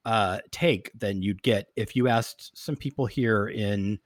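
A man talking as a guest in a casual podcast interview, animated and halting, often starting a phrase over. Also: fairly low pitch, no echo, studio quality.

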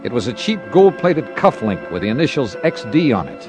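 An actor playing a terse, hard-boiled insurance investigator in a 1950s radio drama, brisk, probing and matter-of-fact. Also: male voice, mid-range voice, very animated, music in the background, natural voice. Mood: intent, measured. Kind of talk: 1950s radio detective narration and dialogue.

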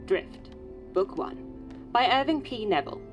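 An actress playing a solemn audiobook narrator of science fiction, deliberate, with dramatic pauses. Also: female voice, on the high side, lively intonation. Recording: loud music behind the voice.

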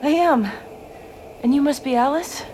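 An actress playing a gentle, anxious woman coaxing a child in an audio drama. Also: high-pitched, moderate pace, a little hiss or hum, lightly acted, low-quality recording. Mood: concerned, coaxing. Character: concerned, gentle woman addressing a lost child.

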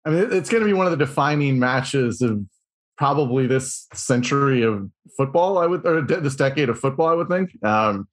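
A man co-hosting a sports podcast, talking in an excitable, rambling way. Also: mid-range voice, a little fast. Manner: excitable, rambling, lots of fillers and restarts. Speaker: podcast co-host. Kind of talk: casual sports podcast chat.

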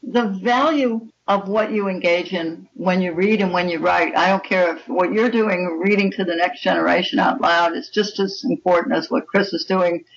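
Older woman, opinionated author in interview, gravelly fry, muffled and off-mic. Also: on the low side, moderate pace, few pauses, a little hiss or hum. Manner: opinionated, emphatic. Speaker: author guest. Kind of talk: conversational interview. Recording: muffled, distant microphone.

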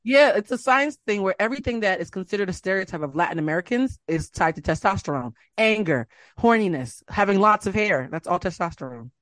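A woman's voice: medium pitch, fairly quick, no room sound. A woman hosting a podcast in casual chat, telling stories and acting out the people in them. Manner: animated storyteller, acts out quotes. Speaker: podcast host.

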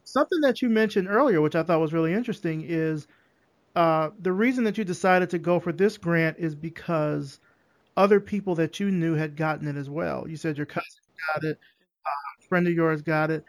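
A man hosting a podcast interview, relaxed, recapping what his guest said before asking a question. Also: fairly high pitch, a little fast. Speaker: podcast host.

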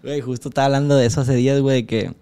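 A man's voice: medium pitch, steady tone, very fast, warm tone, smooth. A man, a podcast guest chatting casually in slangy speech. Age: 20s. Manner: slangy, says "güey" constantly, laughs often. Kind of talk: casual chat.

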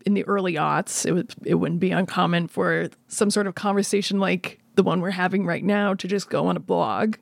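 Interviewer asking stuff conversationally, lots of vocal fry, dry sound. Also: mid-range voice, fairly even intonation, normal speed, few pauses, no echo.

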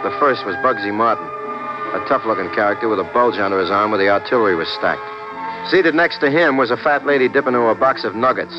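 Man playing a breezy low-voiced American streetwise drifter. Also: fast, over music, lightly acted. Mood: wry narration. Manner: casual, wry, unruffled. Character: breezy, streetwise male drifter and amateur sleuth. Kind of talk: 1950s hardboiled radio drama acting and narration. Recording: muffled vintage radio broadcast.

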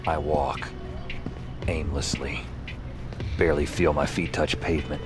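A brooding urban-fantasy hero, speaks in a low voice over prominent music. He is anguished and self-deprecating, with clipped phrasing suited to noir-like audio drama. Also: steady tone, a little slow. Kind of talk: first-person noir-like audio drama narration. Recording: loud music bed under voice.

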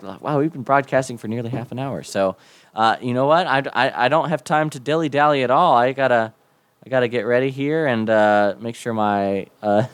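A young man with a slightly hoarse voice runs a casual podcast. He rambles cheerfully, tripping over restarts and fillers at a middling pitch. Faint guitar music sits quietly in the background. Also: no room sound. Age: young adult. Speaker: podcast host. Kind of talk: casual live podcast sign-off.

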